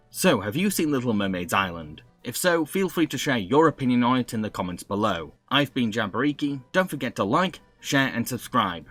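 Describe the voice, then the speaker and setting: A man's voice: mid-range voice, fairly bright tone. A man with a British accent hosting a scripted video review in a wry, opinionated way.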